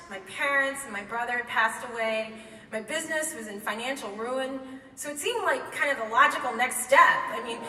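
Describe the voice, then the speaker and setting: A woman telling a comic true story live on stage, wry and quick to laugh, recorded in a hall by a microphone set back from her. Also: sits high, bright, forceful tone, a little hiss or hum. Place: theater stage before an audience. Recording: echoey hall, mic from far off.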